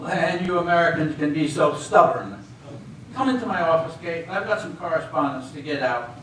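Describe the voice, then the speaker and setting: A man's voice: on the high side, animated, normal speed, fairly bright tone. An amateur actor, a man, playing a stubborn, rule-bound border official in a stage comedy reading, prim and indignant. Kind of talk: amateur readers theater comedy acting. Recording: very echoey hall from far off, faint hiss.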